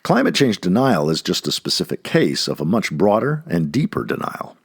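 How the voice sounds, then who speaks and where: A man reading an essay aloud like an audiobook narrator, expressively and with deliberate, emphatic phrasing. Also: deep voice, very animated, vocal fry, breathy edge, dry recording, studio quality.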